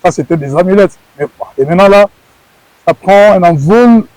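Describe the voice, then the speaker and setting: A man's voice: high voice, normal speed, darkish tone, dry recording, low-quality recording. A middle-aged man from Congo, a former wrestler, answering interview questions earnestly and often repeating words as he searches for phrasing.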